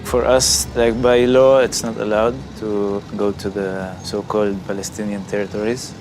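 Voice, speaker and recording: A man explains his situation in a measured way. His voice is low and very flat in tone. Music plays clearly behind him. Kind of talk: documentary interview.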